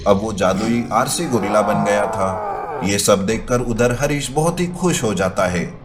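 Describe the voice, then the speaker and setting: A man's voice: fairly low pitch, measured pace, very noisy. A man reading scripted narration for a children's story as the storyteller. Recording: loud music behind voice.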